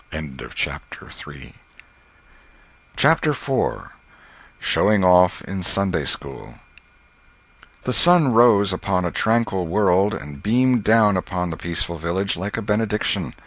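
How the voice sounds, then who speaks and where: A man with a very low voice reads a classic novel aloud as an audiobook narrator. His delivery is measured and wry, taking its time over the long sentences. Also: fairly bright tone.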